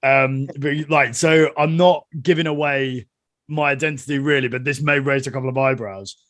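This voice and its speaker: Animated podcast host, dry close sound. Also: male voice, mid-range voice, moderate pace, dry recording. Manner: animated storyteller, heavy swearing, laughs a lot. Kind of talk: casual chat.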